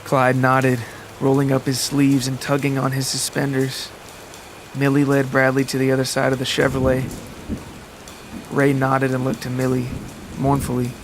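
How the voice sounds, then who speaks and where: A man narrating a scripted literary story in a measured, deliberate way. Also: medium pitch, monotone, a little hiss or hum. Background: music and rain.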